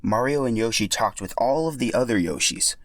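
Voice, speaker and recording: A man's voice: mid-range voice, lively intonation, a little fast, dry recording. A man narrating a scripted story as a podcast storyteller.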